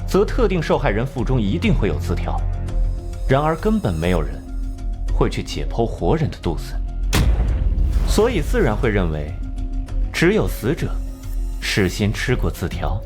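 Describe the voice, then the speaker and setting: An actor playing a cold, arrogant young male genius detective in an animated crime drama, lecturing with sardonic condescension. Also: on the low side, moderate pace, long pauses. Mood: triumphant, explanatory. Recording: music under the voice.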